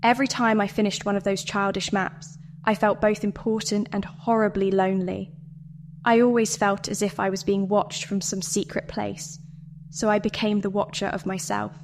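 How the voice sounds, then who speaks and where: A woman delivering a scripted guided meditation and dramatic monologue in a measured, deliberate way with pauses. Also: mid-range voice, flat delivery, a little hiss or hum. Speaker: meditation narrator.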